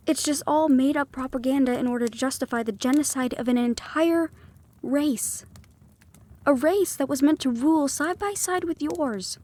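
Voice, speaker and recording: A woman's voice: high voice, moderate pace, dark tone, close to an everyday voice. A woman voicing a defiant young female thief in an audio roleplay, teasing and sardonic, sometimes dropping to a whisper. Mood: bitter.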